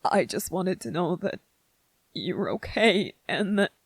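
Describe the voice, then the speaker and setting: A gentle young soldier, as a performer acts him out speaks very slowly in a soft-edged, husky voice. He is vulnerable and devoted, halting between phrases in an intimate, dry-sounding ASMR roleplay. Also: medium pitch, big pitch swings, no room sound, heavily acted. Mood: overwhelmed, tender. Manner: tender, hesitant, halting, earnest.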